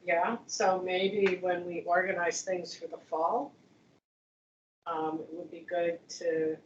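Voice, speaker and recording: A woman speaks in a fairly low voice, musing aloud and restarting her sentences as she goes. She sits far from the microphone, so the sound comes back roomy and echoing. The audio is dull, with a faint room hiss. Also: flat delivery, slow. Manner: rambling, thinking aloud with many restarts. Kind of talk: casual discussion. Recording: distant echoey room mic, dull sound.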